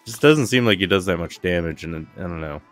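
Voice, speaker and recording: A man's voice: low voice, expressive, a little fast, fairly bright tone, no room sound. A man giving casual gameplay commentary as the host of a gaming video. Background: game music.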